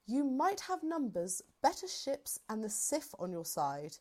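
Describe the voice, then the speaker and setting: Resolute general played by a woman, animated delivery. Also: high-pitched, unhurried, heavily acted. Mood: defiant. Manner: formal, authoritative. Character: resolute, dignified starship general. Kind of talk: scripted fan audio roleplay.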